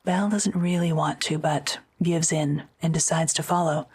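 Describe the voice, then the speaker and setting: A woman narrating an audio drama script in a measured, matter-of-fact way. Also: deep voice, steady tone, a little mellow, slightly rough.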